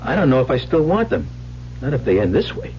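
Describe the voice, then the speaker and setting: A man's voice: mid-range voice, sing-song, darkish tone, lightly acted. Guy acting a scared weak-willed man in an old radio drama, voice leaping around, muffled hissy tape. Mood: pleading, uneasy. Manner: agitated, halting, pleading. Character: frightened weak-willed working man Harry, desperate and indignant.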